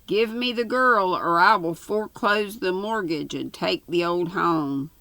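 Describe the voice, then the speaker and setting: A woman's voice: lowish voice, a little slow, pushed and bright, no room sound. A woman voicing a scheming male squire, a pompous and menacing villain in a storybook melodrama. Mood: pompous demand. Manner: pompous, stern, imperious.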